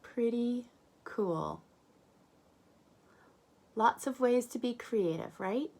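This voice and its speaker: A woman's voice: on the high side, extremely slow, creaky, a little mellow. A woman reading a picture book aloud to young listeners, adding chatty asides, questions and exclamations. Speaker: read-aloud storyteller.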